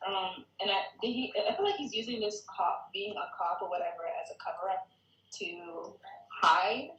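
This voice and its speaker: A woman offering casual feedback in a group discussion, halting and thinking aloud as she goes. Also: medium pitch, animated, fairly bright tone, highs cut off. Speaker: participant giving script feedback at a table read. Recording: echoey room.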